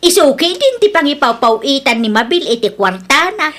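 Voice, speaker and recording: A woman's voice: high-pitched, big pitch swings, moderate pace, a bit bright. An actress playing a suspicious, demanding middle-aged mother in a radio drama, with a scolding, nagging manner. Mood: resentful.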